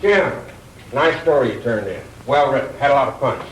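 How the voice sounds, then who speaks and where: An actor playing a coaxing, businesslike American newspaper editor in a 1960s B-movie. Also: male voice, mid-range voice, expressive, normal speed, lightly acted. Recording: echoey, distant, noisy old film soundtrack.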